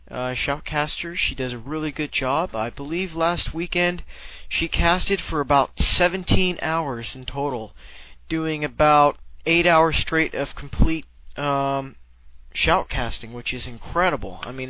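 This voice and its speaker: A man's voice: medium pitch, no echo, highs cut off. A man giving casual, rambling commentary on a game replay, with profane, jokey slang.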